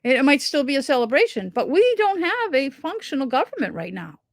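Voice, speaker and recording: A woman's voice: high voice, very animated, brisk, dry recording, clean studio sound. A middle-aged woman talking casually to camera from home about a town petition, earnest and rambling, often restarting her sentences. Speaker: local resident promoting a town petition. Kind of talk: casual direct-to-camera talk.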